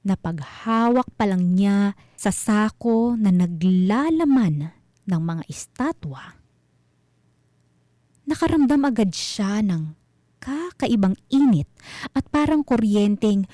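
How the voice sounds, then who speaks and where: A woman with a Filipino accent narrating a scripted mystery story as host, in a measured, suspenseful manner. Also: mid-range voice, mellow, no room sound, studio quality.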